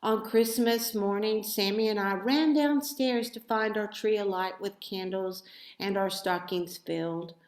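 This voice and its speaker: A woman narrates a children's story in an echoey room. She takes it very slowly, with deliberate, dramatic phrasing and an expressive delivery at an average pitch. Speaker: storybook reader.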